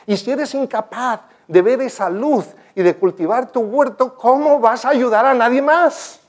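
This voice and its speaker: A man's voice: very high-pitched, measured pace. An older man giving a talk with slides in a conference room, telling stories earnestly and gesturing as he speaks. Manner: earnest, storytelling, animated gestures, some restarts. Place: conference room with projector screen.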